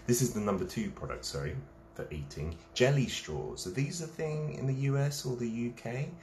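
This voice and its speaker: A man's voice: medium pitch, animated, normal speed, darkish tone, reverberant, a little hiss or hum. A man, hosting a casual late-night live stream, reading out viewers' comments and chatting while he eats snacks. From England.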